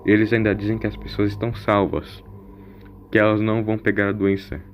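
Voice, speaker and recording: Audio-story wanderer, acted by a male narrator: bitter, sarcastic, lecturing, lowish pitch, slightly muffled recording. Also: monotone, fairly quick, slight background noise, lightly acted. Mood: indignant. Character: sarcastic, embittered male wanderer, mysterious and preachy.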